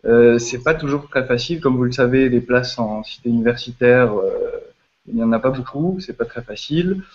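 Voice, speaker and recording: A man in his twenties, from Belgium, asking a question slowly with many fillers in a video chat. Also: medium pitch. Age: 20s. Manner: slow, hesitant, many fillers. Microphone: video call. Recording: dull lo-fi video call audio.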